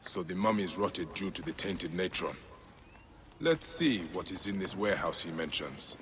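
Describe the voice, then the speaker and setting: An actor playing a stern, vengeful ancient Egyptian warrior in a video game, solemn and forceful. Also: male voice, low-pitched, lively intonation, moderate pace, noisy background. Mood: grave, restrained. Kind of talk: dramatic video game acting.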